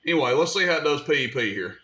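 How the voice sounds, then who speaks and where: A man's voice: fairly high pitch, lively intonation, racing, pushed and bright. A man giving a casual hands-on equipment demonstration, explaining things step by step in an informal, folksy way. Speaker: radio repair video host demonstrating gear.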